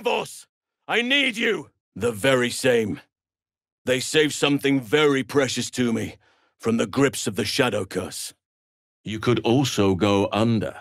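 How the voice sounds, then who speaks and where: An actor playing a big, calm, earnest male elf druid in a fantasy video game, speaking in a measured, gentle, solemn way. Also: mid-range voice, dry recording, studio quality. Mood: urgent, shouting.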